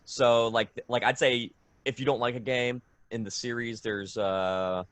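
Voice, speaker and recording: A man's voice: medium pitch, steady tone, unhurried, fairly bright tone, no room sound. Enthusiastic male fan interviewer, dry sound. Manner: enthusiastic, rambling. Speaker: interviewer, video game fan. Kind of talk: casual fan interview chat.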